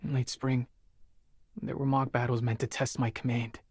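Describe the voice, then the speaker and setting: An actor playing an earnest, driven young Spartan soldier burdened by guilt in a dramatic video game. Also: male voice, medium pitch, little pitch movement, moderate pace, husky, dry recording, studio quality. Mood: somber, confessing.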